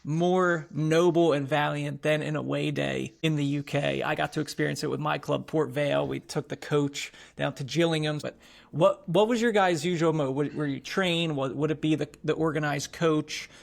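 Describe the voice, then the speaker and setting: A man's voice: a little high, fairly even intonation, moderate pace, vocal fry. A man hosting a football podcast, introducing his guest and asking questions in an enthusiastic, playful way. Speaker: podcast host.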